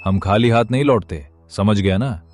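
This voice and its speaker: A man's voice: on the low side, normal speed, noisy background, natural voice. A man playing a threatening, demanding landlord's henchman who collects debts in a cartoon moral story.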